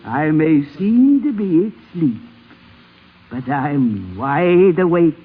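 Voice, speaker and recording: A husky-voiced ancient grandmother, imperious and shrewd, drags out her needling questions with big swoops of pitch. It is vintage radio drama with a dull, aged sound. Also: very animated, slow, heavily acted. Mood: fretful, sly. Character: very old matriarch grandmother, shrewd, fretful, commanding. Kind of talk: 1950s radio drama acting.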